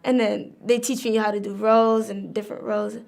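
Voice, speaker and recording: A woman's voice: medium pitch, little pitch movement, normal speed, vocal fry, darkish tone. A young woman, a student, chatting about a hobby and acting out what she and her friends said. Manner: chatty, acts out quotes. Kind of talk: casual interview answer.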